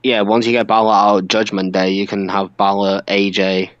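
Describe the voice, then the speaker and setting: A man's voice: fairly low pitch, flat delivery, moderate pace, no room sound, highs cut off. A man co-hosting a podcast, chatting casually about wrestling. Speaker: podcast co-host.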